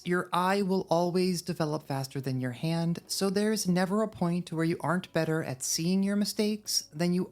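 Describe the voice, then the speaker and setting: Low-voiced woman narrating a wry video essay. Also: a little mellow. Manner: wry, dry humour.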